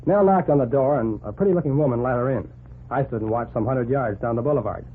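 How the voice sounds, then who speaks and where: An actor playing a brash, eager newspaper reporter with breezy slang, in 1940s radio drama style. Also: male voice, medium pitch, quick pace, natural voice. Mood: narrating. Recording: noisy, phone-like 1940s radio recording.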